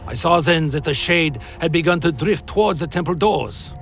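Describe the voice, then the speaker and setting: An actor playing a weary undead vampire wanderer, telling his journey in solemn, literary phrasing for a dark fantasy radio play. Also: a little high, animated, normal speed, creaky. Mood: solemn, brooding. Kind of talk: dramatic first-person scripted narration, dark fantasy radio play. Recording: music behind the voice.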